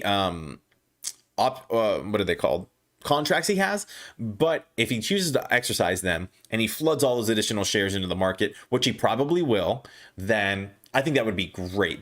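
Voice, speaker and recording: Hoarse man chatting on a stock stream. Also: on the low side, fairly bright tone. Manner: halting, many restarts and fillers. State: losing his voice, clearing throat. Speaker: stock livestream host. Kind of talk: casual livestream chat reading viewer comments.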